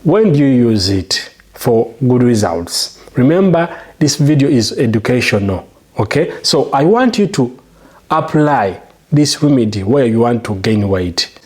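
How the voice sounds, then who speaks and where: A man's voice: mid-range voice, very animated, measured pace, darkish tone. Man, Ugandan English accent, channel host, how-to tutorial, emphatic, promotional, checks in with viewers.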